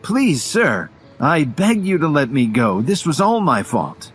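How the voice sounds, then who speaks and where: A man's voice: fairly high pitch, very animated, measured pace, soft background music, voice pushed up. A man playing a desperate, sneering kidnapper in an audio drama, agitated and taunting. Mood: pleading.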